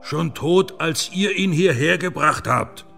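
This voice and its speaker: Very gravelly, stern interrogator. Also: male voice, on the high side, takes it slowly, pushed and bright, soft background music, exaggerated voice. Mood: pressing. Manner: insistent, pointed questioning. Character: stern, probing male investigator in historical drama. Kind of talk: German radio play acting.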